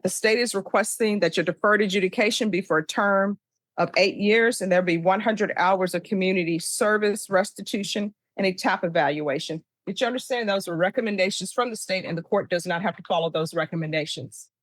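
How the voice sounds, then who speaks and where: A woman's voice: medium pitch, no room sound, low-quality recording. Authoritative American woman judge, dry close sound. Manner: brisk, authoritative, recites by rote. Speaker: judge presiding over a plea hearing. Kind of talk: formal procedural courtroom questioning. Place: courtroom.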